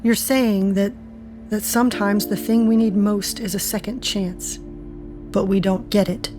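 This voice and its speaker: A woman's voice: mid-range voice, steady tone, measured pace, close to an everyday voice. Wry middle-aged woman played by an actress, music underneath. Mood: searching. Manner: sardonic, wisecracking. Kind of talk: audio drama acting.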